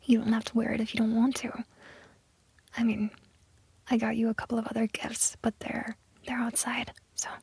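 A woman acting a flustered, awkward young lover in an intimate audio roleplay, with a breathy, hushed voice and halting, stammering delivery. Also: on the high side, monotone, no echo, heavily acted. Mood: shy, teasing. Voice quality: breathy, whispery.

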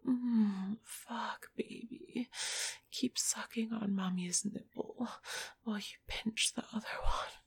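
A woman performing an intimate whispered audio roleplay in a soft, breathy, teasing and coaxing manner. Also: medium pitch, fairly even intonation, takes it slowly, slight background noise.

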